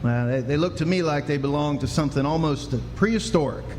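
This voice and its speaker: An actor playing a folksy, skeptical Texan sheriff in a live Western radio drama, with a drawling rustic way of talking. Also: male voice, on the high side, fairly quick, a little mellow, close to an everyday voice. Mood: musing, wry. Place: live stage performance indoors. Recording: noisy live stage, reverberant hall.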